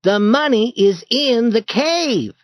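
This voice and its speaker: A man voicing an eager, bold boy who leads an adventure in a storybook reading, in a lively and urgent manner. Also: sits high, a little slow, dry recording, pitched above the performer's own voice, heavily acted. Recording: dull dry lo-fi recording.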